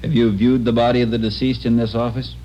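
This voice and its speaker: An actor playing an impassive American deputy coroner, speaking formally and procedurally in a 1950s radio drama. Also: male voice, medium pitch, little pitch movement, fast, close to an everyday voice. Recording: dull, faintly noisy vintage radio recording.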